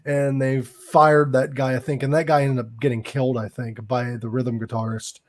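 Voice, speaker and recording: A man's voice: mid-range voice, level tone, moderate pace. A man hosting a casual podcast, chatting and correcting details with a fussy, talkative manner. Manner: chatty, fact-correcting, laughs at dark humor. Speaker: podcast host.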